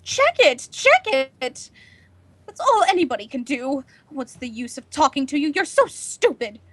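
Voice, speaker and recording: An actress playing a nervous, imperious housewife on edge, in a melodramatic 1940s radio drama style. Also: female voice, sits high, big pitch swings, unhurried, fairly bright tone, heavily acted. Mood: indignant, exasperated. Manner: haughty, melodramatic, agitated. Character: nervous, imperious, panicking housewife alone at night.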